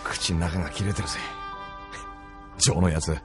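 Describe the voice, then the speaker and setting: A man acting a cynical, rough young pilot in a radio drama, with gravelly, slangy, sneering speech over music. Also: sits low, steady tone, brisk, close to an everyday voice. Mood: bitter, defiant. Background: loud music.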